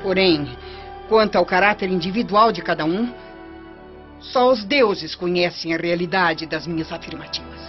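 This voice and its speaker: A woman's voice: fairly low pitch, moderate pace, close to an everyday voice. An actress playing a scheming, embittered Roman noblewoman in a radio drama, formal and insinuating in manner. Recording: dull, band-limited radio recording, music bed.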